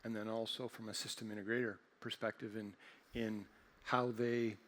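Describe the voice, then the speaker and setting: Deliberate man answering on a panel. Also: on the low side, level tone, fairly bright tone. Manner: deliberate, with fillers. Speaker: panelist, technology speaker. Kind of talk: panel discussion.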